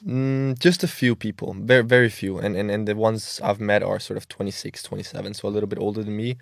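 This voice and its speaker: A twenty-one-year-old man, speaking quickly and earnestly with a gravelly, creaky edge. His voice sits low and dry, close to the mic with no room sound, and he often doubles words as he talks. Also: flat delivery, no room sound. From Denmark. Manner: earnest, quick, with frequent word repeats. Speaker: podcast guest. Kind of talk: casual interview talk.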